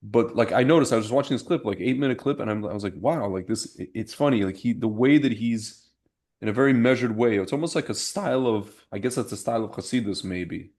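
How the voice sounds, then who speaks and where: A man's voice: fairly low pitch, fast, a little mellow. A young man hosting a podcast, talking in a loose, rambling way with many restarts. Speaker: podcast host. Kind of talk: casual chat.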